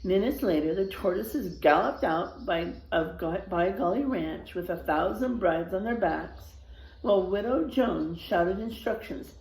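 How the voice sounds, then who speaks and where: A woman reads a children's tale aloud with lively, swinging intonation. Her voice has some creak, and the room adds echo. She breaks off for asides to her listeners. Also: medium pitch, a little slow. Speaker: read-aloud storyteller. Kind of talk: expressive children's story reading with asides to listeners.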